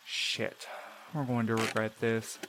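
A man's voice: on the low side, little pitch movement, takes it slowly, very noisy. An actor playing a wary, reluctant male courier in an audio drama, with a casual, sarcastic manner. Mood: exasperated, sardonic. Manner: sarcastic, colloquial. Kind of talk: full-cast audio drama acting.

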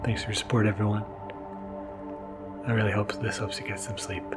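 A man speaking as a podcast host in a casual, intimate monologue, hesitant and soft-spoken. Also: fairly low pitch, flat delivery, a little fast. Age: adult, new father. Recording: mellow music underneath. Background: soft mellow music.

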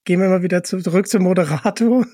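A man's voice: sits high, fast talker, dark tone, no room sound, studio quality. A man hosting a podcast, chatting casually and putting questions to a guest. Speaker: podcast host. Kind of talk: casual interview chat.

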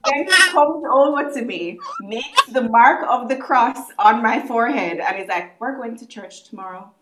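A woman's voice: a little high, animated, moderate pace, reverberant, very noisy. A young woman talking thoughtfully in a casual podcast chat and asking reflective questions.

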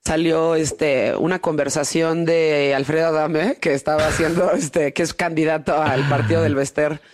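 A woman's voice: low voice, fairly even intonation, moderate pace. A woman with a Mexican accent hosting a casual podcast conversation, talking in a candid, blunt and playful way, full of street slang. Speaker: podcast host. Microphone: podcast studio mic.

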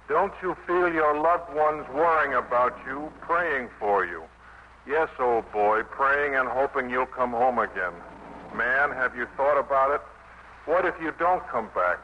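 An actor playing a sardonic American soldier, reading aloud in a declamatory 1950s radio drama style. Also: male voice, mid-range voice, natural voice. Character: American GI mockingly reading enemy propaganda aloud. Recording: muffled, noisy vintage radio broadcast.

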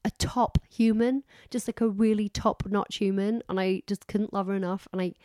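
A woman's voice: medium pitch, steady tone, moderate pace, darkish tone, no room sound, clean studio sound. A woman co-hosting a podcast in casual, effusive, rambling chat while she is losing her voice. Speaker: podcast co-host.

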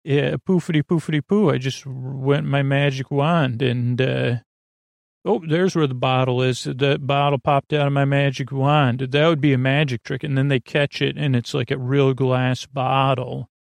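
A man hosting a sleep podcast in a rambling, conversational bedtime monologue, halting and drifting off on tangents in a gravelly voice. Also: medium pitch, steady tone, dry recording.

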